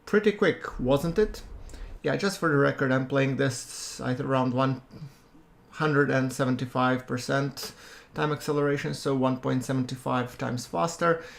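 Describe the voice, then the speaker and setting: A man giving relaxed, chatty commentary over a space-game playthrough, with a soothing voice and self-deprecating asides. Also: mid-range voice, unhurried, creaky. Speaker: gameplay commentator. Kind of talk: casual let's-play commentary.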